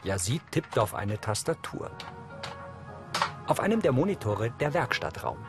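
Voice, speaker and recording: Male narrator, neutral and measured, music behind. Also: mid-range voice, very animated. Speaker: audio description narrator. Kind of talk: scripted narration.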